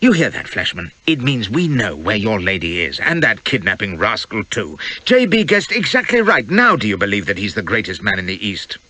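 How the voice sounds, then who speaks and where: Man as an excitable adventurer, bright and animated. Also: on the low side, moderate pace, few pauses, no room sound, exaggerated voice. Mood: jubilant. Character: excitable, cheery young British male adventurer. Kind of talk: audiobook character acting.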